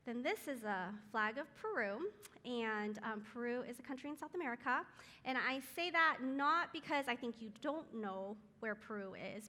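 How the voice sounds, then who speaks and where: A woman's voice: a little high, pushed and bright, long reverb tail. A woman whose first language is Chinese, talking casually to a church group in an earnest, hesitant way with many ums. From Taiwan or China, raised in Seattle area. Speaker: guest speaker at a church moms group. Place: church meeting room.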